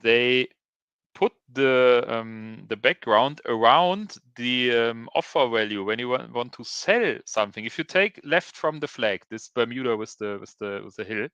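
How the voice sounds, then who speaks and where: Halting man, bright clear voice. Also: mid-range voice, dry recording, lo-fi sound. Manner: halting, many restarts and ums. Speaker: podcast co-host. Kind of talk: casual chat.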